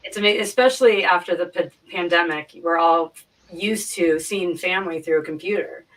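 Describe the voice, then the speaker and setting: A woman talks casually as a guest on a stream, her voice forceful and on the low side. She often doubles back on her phrases as she explains. She sounds somewhat distant from the microphone. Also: moderate pace. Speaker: guest on a live stream, stream producer. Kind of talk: casual chat, explaining and recounting.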